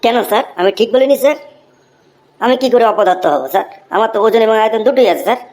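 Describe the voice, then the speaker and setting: A woman's voice: on the high side, fast, a little mellow, lightly acted. A woman voicing cheeky, smart-mouthed young pupils answering a teacher with mock respect in a comic cartoon. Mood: protesting. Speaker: cartoon voice actor. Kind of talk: comic Bengali cartoon dialogue.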